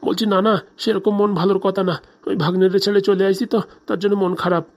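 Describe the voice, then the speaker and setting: A man's voice: high-pitched, little pitch movement, fast talker. A man voicing a homesick, honest middle-aged uncle in a comedy cartoon, with a plaintive, earnest manner. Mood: hurt, plaintive. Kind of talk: Bangla comedy cartoon dialogue.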